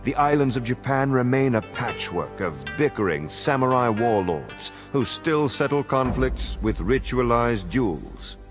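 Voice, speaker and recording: A man giving a dramatic scripted historical narration for a game cutscene, in a measured, formal storytelling manner. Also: mid-range voice, sing-song. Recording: music behind the narration. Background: background music.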